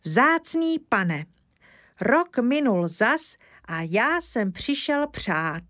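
A woman's voice: on the high side, sing-song, a bit bright, no room sound, pitched above the performer's own voice. A cheeky little village boy played by a woman chatters in a raised, childlike voice. He talks eagerly, reciting his lines like a storybook child, recorded close and dry.

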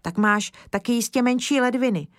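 A woman's voice: on the high side, dry recording, voice pushed up, lightly acted. A cheeky little village boy played by a woman chatters in a raised, childlike voice. He talks eagerly, reciting his lines like a storybook child, recorded close and dry.

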